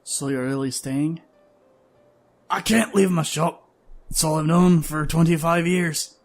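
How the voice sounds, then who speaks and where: A man's voice: fairly high pitch, steady tone, unhurried, faint music behind. A man playing a steadfast, resigned middle-aged shopkeeper in an audio drama. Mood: resolute, earnest.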